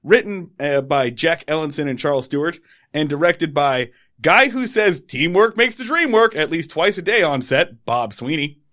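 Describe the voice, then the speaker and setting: A man's voice: fairly high pitch, lively intonation, moderate pace, a bit bright. Joking man co-hosting a chatty podcast. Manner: joking, rambling, bursts of silly singing. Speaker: podcast co-host. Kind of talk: casual chat.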